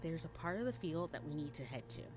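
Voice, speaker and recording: Female narrator as a pushy, breezy young American woman in a spooky story, animated, slightly muffled recording. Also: sits low, quick pace. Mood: bossy. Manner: brash, emphatic, commanding. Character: bossy, breezy young woman leading an amateur spell group. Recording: dull lo-fi audio under loud music.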